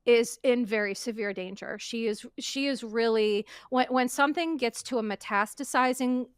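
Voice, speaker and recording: A woman with a somewhat high voice talks earnestly in a casual podcast chat. She is emphatic and stacks repeated phrases to make her point. The sound is close and dry. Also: moderate pace, clear, resonant tone, dry recording. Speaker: podcast guest.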